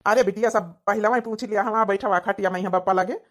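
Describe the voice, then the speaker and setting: Woman acting, old cartoon village father, medium pitch, rural Awadhi accent, sensible, plain, placating. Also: fairly even intonation, quick pace, lightly acted. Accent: Awadhi, rural north India. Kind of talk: cartoon comedy dialogue.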